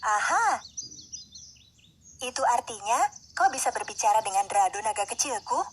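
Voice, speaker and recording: A woman's voice: fairly high pitch, sing-song, normal speed, slight background noise. A woman voicing a friendly, eager young princess in a children's storybook tale. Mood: cheerful greeting. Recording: music under the voice.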